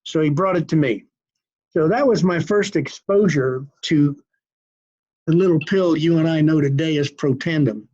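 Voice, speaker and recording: A man in his seventies telling stories as an interview guest in a folksy, unhurried, wry manner. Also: fairly high pitch, darkish tone, dull audio. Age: 70s. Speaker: cardiologist guest. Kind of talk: conversational storytelling interview.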